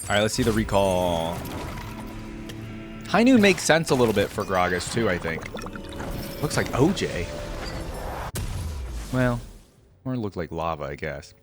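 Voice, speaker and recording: A man's voice: fairly low pitch, big pitch swings, measured pace, pauses often, over music. A man hosting a reaction video, speaking in an informal, enthusiastic way. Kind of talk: casual reaction commentary and story reading.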